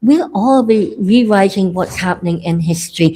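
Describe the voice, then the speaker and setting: A woman's voice: medium pitch, normal speed, dark tone, a little hiss or hum. A middle-aged woman from Ireland, co-hosting a casual political chat in an earnest, rambling way with frequent restarts. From Northern Ireland. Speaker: political show co-host.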